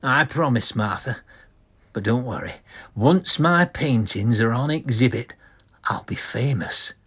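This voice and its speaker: A man acts out a deluded artist in a horror comic radio play. He is bitter and grandiose, with melodramatic resentment. His mid-pitched voice is husky and takes its time. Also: lively intonation, measured pace, fairly bright tone. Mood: earnest, intense. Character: deluded, bitter, arrogant male artist going mad. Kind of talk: vintage horror comic audio drama acting.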